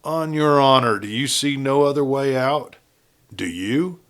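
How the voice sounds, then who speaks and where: A man's voice: mid-range voice, measured pace, fairly bright tone, voice pushed up, close to an everyday voice. Man playing a wary cowboy outlaw lieutenant. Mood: reluctant, questioning. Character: wary, principled outlaw lieutenant in the old West, male.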